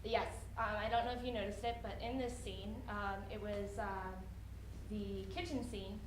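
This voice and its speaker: A young woman writer answering audience questions off the cuff, often halting and restarting her thoughts. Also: mid-range voice, fairly even intonation. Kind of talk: unscripted question-and-answer talk after a reading. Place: indoor room at a college event. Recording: roomy echo, faint background noise.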